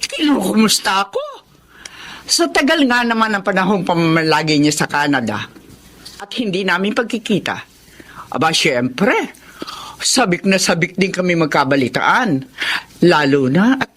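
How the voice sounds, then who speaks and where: Swooping, sharp-tongued Filipino mother. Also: fairly low pitch, sing-song, normal speed, pauses often. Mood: scornful. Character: sharp-tongued, flirty, nosy middle-aged mother. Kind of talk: Filipino radio drama acting.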